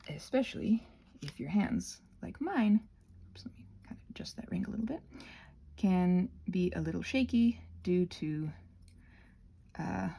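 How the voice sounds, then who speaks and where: Female crafter, casual and theatrical, lively sing-song swings, meandering asides; phone-recorded with slight background noise. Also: lowish voice. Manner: whimsical, theatrical, self-interrupting, talks to objects. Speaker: craft video creator demonstrating butterfly wing prep. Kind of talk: rambling casual craft tutorial with asides to self.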